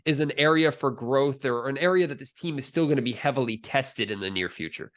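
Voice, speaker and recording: A man's voice: mid-range voice, brisk, fairly bright tone. A young adult American man hosting a sports podcast in a conversational style. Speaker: podcast host.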